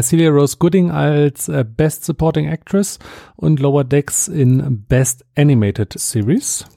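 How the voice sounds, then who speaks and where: Guy reading news bits on a podcast, really slow and soft-toned, matter-of-fact, bit halting. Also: medium pitch, gravelly, no echo, studio quality. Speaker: podcast co-host.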